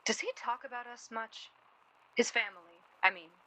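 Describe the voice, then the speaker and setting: A woman's voice: a little high, moderate pace, sharp tone, rough recording. A woman playing a wry, outspoken, self-deprecating young woman from 1930s New York in a naturalistic radio drama. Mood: wry, pointed. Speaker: actor in a radio play.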